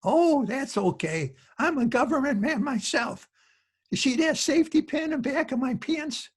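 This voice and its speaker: A man playing a folksy old joker with a raspy voice, rambling along in an old-time radio comedy. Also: very high voice, lively intonation, normal speed. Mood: jokey. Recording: home video-call recording.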